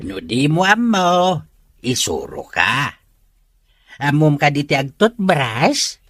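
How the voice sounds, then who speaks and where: A woman voicing a playful, homely old father from an Ilocano village who teases with a drawn-out delivery, in a comic radio drama with a Filipino accent. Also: sits low, very animated, husky, heavily acted. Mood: joking.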